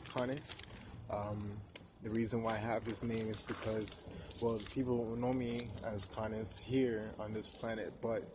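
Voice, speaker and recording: A man in his twenties speaking to camera in a personal monologue, halting, with many pauses and restarts. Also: fairly low pitch, level tone, noisy background. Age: 20s. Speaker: vlogger.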